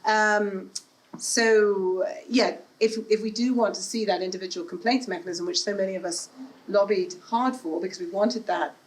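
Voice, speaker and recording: A woman speaking on a panel at an event, earnest and measured, with many fillers, into a handheld mic. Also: medium pitch, fairly even intonation. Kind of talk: panel discussion. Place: event room with an audience. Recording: echoey room with faint ambience.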